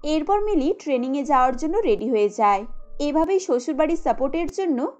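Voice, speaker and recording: A woman's voice: high voice, very animated, fairly quick, a little mellow, soft background music. A woman narrating a scripted cartoon story as a storyteller.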